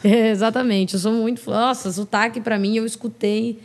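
A woman's voice: a little high, steady tone, moderate pace, a little mellow. A woman from São Paulo, a singer and podcast guest, chatting frankly and expressively in a casual interview. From São Paulo, Brazil.

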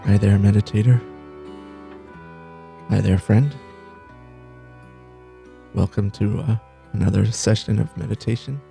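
A man leading a guided meditation in a gentle, unhurried way, pausing between short phrases. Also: lowish voice, gravelly, soft background music. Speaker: meditation teacher and host.

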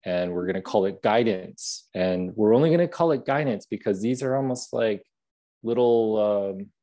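A man with a soothing, gentle voice, talking thoughtfully as a guest in a podcast interview. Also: fairly low pitch, expressive, moderate pace. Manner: gentle, soft-spoken, thoughtful. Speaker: app creator, podcast guest. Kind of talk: reflective interview conversation.

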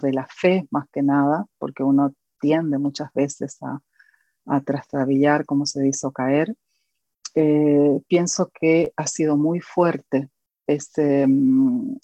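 A woman from Argentina with an Argentine accent, a guest educator and author speaking earnestly in an interview, pausing often with fillers. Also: sits low, warm tone, dry recording, muffled recording. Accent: Argentine Spanish. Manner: earnest, reflective, with many pauses and fillers.

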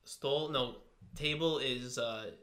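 A man's voice: mid-range voice, slow pace, a bit bright. A man speaking as a podcast guest, telling personal stories casually and often backtracking to rephrase. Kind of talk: casual chat, personal storytelling.

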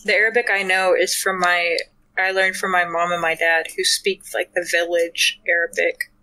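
A woman's voice: on the low side, level tone, normal speed, sharp tone, rough recording. A woman co-hosting a podcast, chatting casually with friends in a playful, giggly, protesting way. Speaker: podcast co-host.

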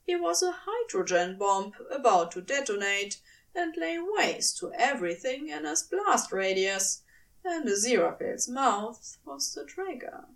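A woman reading fiction aloud as a narrator, giving an expressive scripted performance. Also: fairly high pitch, a little slow, gravelly, recorded from a distance, rough recording. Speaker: podfic reader and narrator.

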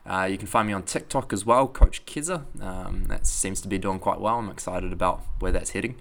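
A man's voice: low voice, fairly quick, creaky, fairly bright tone, slight background noise. A young man with a New Zealand accent, a podcast host and coach talking casually with many fillers and restarts. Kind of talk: casual spoken talk and podcast outro.

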